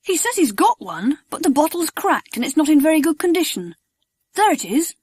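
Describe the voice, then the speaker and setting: Actress playing helpful storybook boy. High, lively pitch, brisk and earnest, dry sound. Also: dry recording. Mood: eager, helpful. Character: eager, helpful young boy in adventure story.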